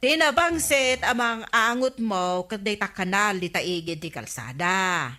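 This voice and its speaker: A woman's voice: mid-range voice, expressive, unhurried, pushed and bright. A woman with an Ilocano accent playing a gossipy, complaining neighbour in a radio comedy drama.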